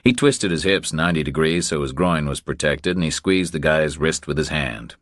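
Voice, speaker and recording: A man's voice: extremely low pitch, normal speed, fairly bright tone, no echo, clean studio sound. A man reading a scripted thriller as an audiobook narrator.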